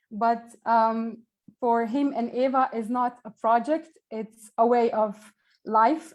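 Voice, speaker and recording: A woman's voice: fairly high pitch, rough recording. A woman in her thirties with a Slovenian accent, introducing panelists on a video call with frequent hesitations. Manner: hesitant, many ums, searches for words. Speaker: event organizer introducing panelists. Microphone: earphone headset mic on a video call.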